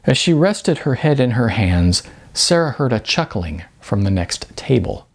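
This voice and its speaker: Expressive, husky male author reading his novel. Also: medium pitch, a little slow. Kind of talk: expressive audiobook narration, conversational.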